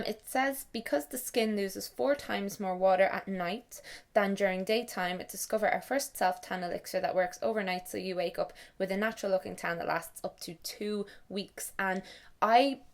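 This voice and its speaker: A young Irish woman vlogging to camera in casual, self-mocking chat, swearing freely. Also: mid-range voice. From Ireland. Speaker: beauty and lifestyle vlogger.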